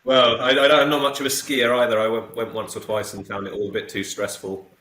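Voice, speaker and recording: Middle-aged man, low voice, hesitant casual interview guest, roomy echo. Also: fairly quick, slight background noise. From south coast of England. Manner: relaxed, hesitant, many fillers and restarts.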